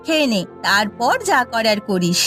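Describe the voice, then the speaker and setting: A spiteful village mother from a fairy-tale cartoon, high-pitched and swinging widely in tone. She is commanding and conspiratorial, a schemer who dotes on her boy. Music plays underneath. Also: expressive, moderate pace, fairly bright tone. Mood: scheming, spiteful.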